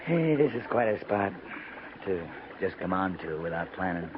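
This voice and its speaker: A man's voice: low-pitched, very animated. An American man acting a laconic, dryly teasing, weary cavalry captain in a 1950s radio drama, speaking tersely and without hurry. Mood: relaxed, teasing. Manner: terse, dry, unhurried. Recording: muffled, noisy 1950s radio recording.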